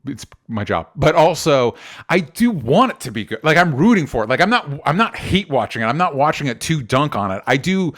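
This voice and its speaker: A man's voice: a little high, sing-song, a little fast, fairly bright tone. Rambling, opinionated male podcast host. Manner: rambling, opinionated, self-correcting, casual swearing. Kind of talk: casual pop culture discussion.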